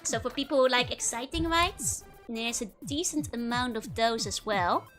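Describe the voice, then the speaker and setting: Dutch lady chatting on her stream, laughs a lot, kinda high voice, soft music in the back. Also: moderate pace. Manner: giggly, rambling, many fillers. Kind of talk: casual chat to live viewers.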